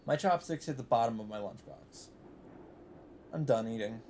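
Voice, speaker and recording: A man of middle pitch hosts a let's play of a visual novel, reading its narration aloud at an even pace. He now and then breaks off into blunt, cursing remarks. A faint noise sits under his voice. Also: normal speed. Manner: reads narration evenly, breaks in with swearing asides.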